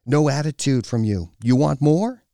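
A man's voice: medium pitch, very animated, a little slow, a little mellow, no echo, clean studio sound, voice pushed up. A man voicing a stern, domineering foreign woman in an audiobook, with a commanding, clipped and scornful delivery. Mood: threatening. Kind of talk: audiobook character dialogue.

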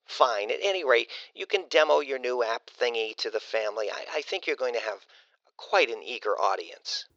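A man's voice: medium pitch, expressive, moderate pace, fairly bright tone, no echo, dull audio, voice pushed up. A man voicing a sarcastic, controlling middle-aged mother with a dry, pointed manner in an audiobook story. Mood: probing.

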